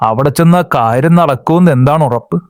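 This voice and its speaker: A cocky young slacker, voiced by a man, snaps back in a Kerala accent. His voice sits a little high, and it sounds close and dry. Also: fairly quick, a little mellow, clear, resonant tone, dry recording, lightly acted. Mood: sulky. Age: young adult. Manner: sulky, cocky comebacks. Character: lazy jobless young man, cocky and sulky.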